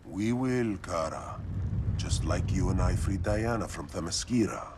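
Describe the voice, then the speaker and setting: A deep steady commanding king type in a game, noisy background. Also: a little slow. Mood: reassuring.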